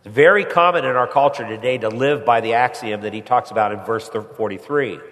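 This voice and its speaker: A man's voice: fairly quick, a bit bright. Congested man preaching in a reverberant hall. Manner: rises in pitch and volume when pressing a point. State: stuffy head cold. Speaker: pastor. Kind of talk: sermon.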